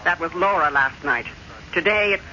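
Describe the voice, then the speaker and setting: A woman's voice: on the low side, normal speed, pushed and bright. A woman playing an evil, imperious dead stepmother who has possessed a young woman, scornful and commanding, in a radio mystery drama. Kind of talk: 1970s radio mystery acting. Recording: dull old radio recording, crowd noise behind.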